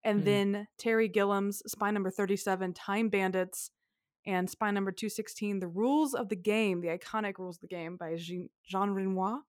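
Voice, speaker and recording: A woman co-hosting a relaxed film podcast, talking in a chatty way with frequent laughs and self-corrections. Her voice sits at a middle pitch. The sound is dry and close, with no room around it. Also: moderate pace, dry recording. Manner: chatty, laughs often, trails off and restarts. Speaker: podcast co-host. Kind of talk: casual film podcast chat.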